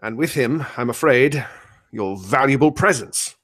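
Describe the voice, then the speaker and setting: A man playing a brisk, earnest great detective in a comic radio play parody, making dramatic deductions in a formal, declamatory way. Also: medium pitch, lively intonation, a bit bright. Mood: grave.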